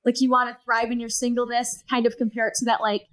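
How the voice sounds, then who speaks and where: A woman in her thirties speaking as a podcast guest, her voice high and bright. She tells her story earnestly and with animation, often quoting her own past thoughts aloud. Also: monotone, fast. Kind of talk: personal storytelling in conversation.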